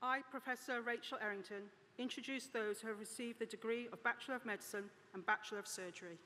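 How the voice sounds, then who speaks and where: A woman, a medical school head, reads graduates' names in a formal, measured way at a ceremony. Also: on the high side, fairly even intonation, sharp tone, slight background noise. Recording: distant voice in an echoing hall with a crowd.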